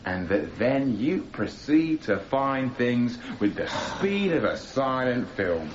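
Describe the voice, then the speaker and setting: Defiant young defendant, swinging pitch. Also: male voice, big pitch swings, takes it slowly. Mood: insistent. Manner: insistent, accusatory, sarcastically formal. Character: defiant young male anarchist defendant questioning police. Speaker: actor in a radio courtroom drama. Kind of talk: radio drama cross-examination. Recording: slightly dull, noisy radio recording.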